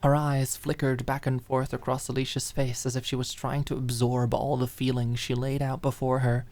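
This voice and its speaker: A woman's voice: a bass voice, level tone, normal speed, dark tone. A woman reading a dramatic fiction audiobook expressively, lingering on key words. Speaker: audiobook narrator. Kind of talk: dramatic scripted fiction narration.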